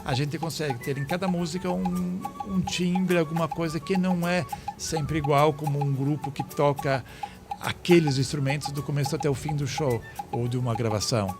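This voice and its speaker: A man's voice: on the high side, moderate pace, music in the background. A Brazilian-born man, a conductor guest on a podcast, explaining in a plain, listing way with frequent restarts. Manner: explanatory, restarts and lists things. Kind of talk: casual podcast interview.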